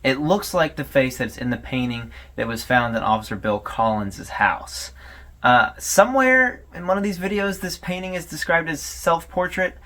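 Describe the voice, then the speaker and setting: A man's voice: fairly high pitch, expressive, normal speed, sharp tone. Casual, hesitant man doing video commentary. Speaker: host of a horror series analysis video.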